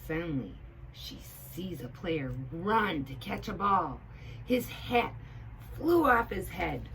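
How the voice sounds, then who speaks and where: A woman reading a children's storybook aloud expressively, with emphatic pauses between phrases. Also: on the low side, big pitch swings, fairly bright tone, a little hiss or hum, rough recording. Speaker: teaching assistant reading to children.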